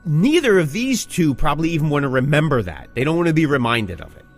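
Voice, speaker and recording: A man's voice: on the high side, big pitch swings, fast talker, soft background music. A man hosting a podcast in casual, opinionated chat, throwing out rhetorical questions and punchy repeated phrases. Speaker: podcast host.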